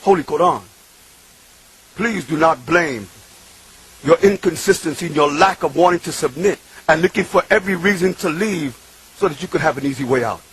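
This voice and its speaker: Raspy, high-voiced New preacher. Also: male voice, moderate pace, poor audio. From Bronx, New York. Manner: shifts from loud shouting to hushed, tearful murmurs. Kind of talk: impassioned sermon.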